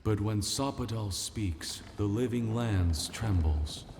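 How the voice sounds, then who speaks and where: A man playing a grave, reverent ancient spirit in a fantasy game, speaking formally and deliberately. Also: fairly low pitch, expressive, a little mellow, slight background noise, full character voice. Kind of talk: fantasy video game acting. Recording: long reverb with clear music behind.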